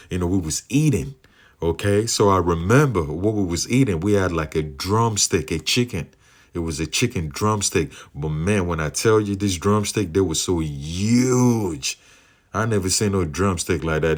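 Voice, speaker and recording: Very deep-voiced man recounting dreams. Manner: earnest, many fillers, slow drawn-out phrasing. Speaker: believer sharing dream visions. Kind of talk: rambling personal testimony.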